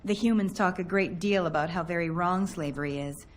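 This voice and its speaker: A woman's voice: fairly low pitch, brisk, slight background noise, natural voice. Woman acting a haughty game villain mage, cold and commanding, looks down on everyone. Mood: cold disdain. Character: haughty female mage enforcer from a slaver empire. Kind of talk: video game cutscene acting.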